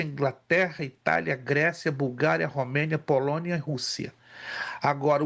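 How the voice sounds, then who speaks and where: A male theology teacher in a casual class conversation, telling stories with animation and listing facts. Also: on the high side. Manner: storytelling, animated, lists facts.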